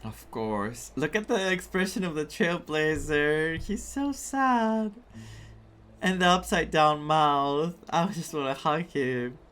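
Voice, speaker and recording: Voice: medium pitch, animated, takes it slowly, a bit bright. A streamer giving casual, reflective and emotive commentary during a story game playthrough, sometimes reading dialogue aloud.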